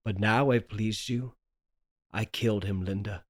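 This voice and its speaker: A man's voice: fairly low pitch, steady tone, a little slow, lightly acted. A man playing a frantic, guilt-ridden husband who dotes on his wife in a melodramatic, pleading horror radio play. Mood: hushed, obsessive. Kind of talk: 1930s horror radio play table read.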